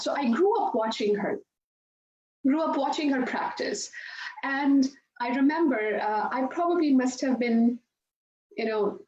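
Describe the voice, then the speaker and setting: A bright, higher-pitched woman tells stories in a chatty way, laughing easily as she talks. She is on a video call from her home yoga studio. The room sounds echoey, and the audio is dull with faint hiss underneath. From India. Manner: chatty and warm, laughs often while telling stories. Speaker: yoga and meditation instructor, guest. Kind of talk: casual interview storytelling.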